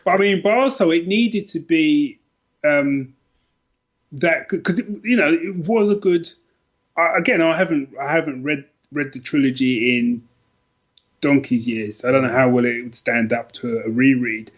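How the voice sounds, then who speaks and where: A slightly dull, lo-fi recording carries a middle-aged British man with a fairly high voice. He chats casually as a podcast guest, thinking aloud and rephrasing as he goes. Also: normal speed. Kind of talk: casual chat about favourite books.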